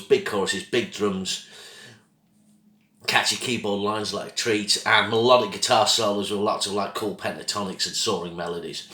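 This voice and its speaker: A man hosting his own channel with a casual, rambling album review, his voice croaky from a throat infection, coughing now and then. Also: on the low side, pushed and bright, echoey room. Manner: rambling, loses track of names, apologetic.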